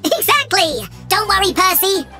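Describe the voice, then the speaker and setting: A shrunken cartoon boy engine, extremely high and squeaky. The delivery is determined and animated, over clear music. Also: normal speed, exaggerated voice. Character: ant-sized, plucky young boy toy-like engine. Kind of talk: children's cartoon acting. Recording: music behind the voice.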